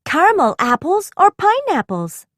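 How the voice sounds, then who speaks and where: A woman voicing an excited, inventive young girl in a children's storybook, eager and cheerful. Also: very high-pitched, moderate pace, no room sound, studio quality, pitched above the performer's own voice, full character voice.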